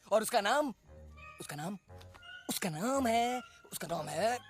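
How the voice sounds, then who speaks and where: An actor playing a forgetful, eccentric uncle bird in a cartoon film, rambling and repeating himself. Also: male voice, high voice, expressive, takes it slowly, soft background music, exaggerated voice. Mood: excited, muddled. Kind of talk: animated film voice acting.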